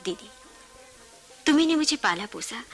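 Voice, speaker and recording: Pleading young bride, high pitch, bright tone, outspoken younger sister, radio play. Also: female voice, big pitch swings, moderate pace, soft background music, full character voice. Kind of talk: Hindi radio play acting.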